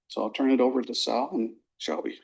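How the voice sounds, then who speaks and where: A man, a program coordinator, giving a measured, formal presentation to a school board, reading from notes with some fillers. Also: medium pitch, steady tone, gravelly, a little mellow, slightly rough. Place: board meeting room.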